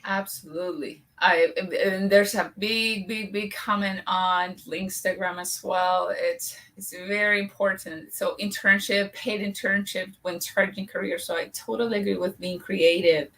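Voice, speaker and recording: Latin American-accented woman, lively panel speaker with frequent restarts, heard from a distance. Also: medium pitch, measured pace, few pauses. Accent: Latin American Spanish. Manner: animated, many restarts and fillers. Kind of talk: live online panel talk.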